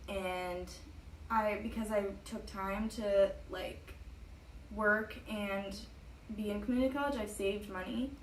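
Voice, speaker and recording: A woman's voice: mid-range voice, fairly even intonation, slow, slight background noise. Upbeat young woman, echoey and distant. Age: 20s. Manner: upbeat, giggly, lots of "like". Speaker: student interviewee. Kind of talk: casual chat.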